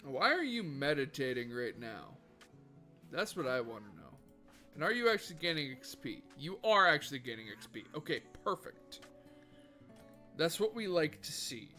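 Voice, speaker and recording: Bright-voiced man chatting on a game stream. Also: fairly high pitch, big pitch swings, normal speed. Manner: chatty, rambling, swears freely. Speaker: livestreamer. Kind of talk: casual gaming livestream chat. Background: faint background music.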